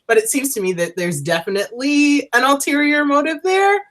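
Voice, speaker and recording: A woman's voice: high-pitched, a little fast, fairly bright tone. A woman chatting casually as co-host of a TV review show, emphatic and restating her points.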